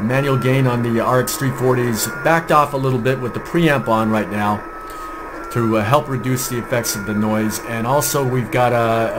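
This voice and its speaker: A man with an American accent giving an informal hands-on demonstration as a video host. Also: mid-range voice. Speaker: amateur radio video host. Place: indoor home radio desk. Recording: clear background radio static and signal noise. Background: shortwave receiver noise and signals.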